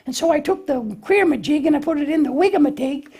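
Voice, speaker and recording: A man's voice: extremely high pitch, fast talker, warm tone, voice pushed up. A man voicing a naive, eager, bumbling young lamb puppet in a children's show, with a hesitant, folksy, childlike way of explaining. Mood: puzzled.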